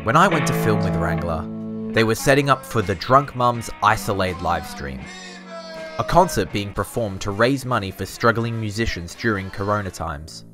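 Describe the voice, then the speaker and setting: A man giving measured, scripted documentary narration. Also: lowish voice, animated, over music.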